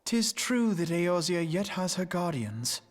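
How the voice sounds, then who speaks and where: A man playing an earnest, noble young fantasy hero in a game, with formal, archaic, measured speech. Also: high voice, sing-song. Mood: solemn, resolute. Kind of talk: scripted fantasy game dialogue.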